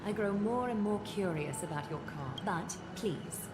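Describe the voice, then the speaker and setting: A regal seer played by a woman speaks in a formal, gracious, measured way, like a noble host in a video game. The voice sits in a roomy echo with loud music behind it. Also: mid-range voice, expressive, natural voice. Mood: composed. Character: poised regal seer lady, host of a holy city. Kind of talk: scripted video game voice acting.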